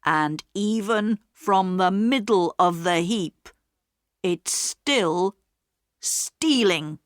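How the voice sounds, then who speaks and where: A stern male station master who scolds slowly and loudly, a woman voicing him in a story reading. The pitch moves a lot. The sound is close and dry. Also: lively intonation, dry recording.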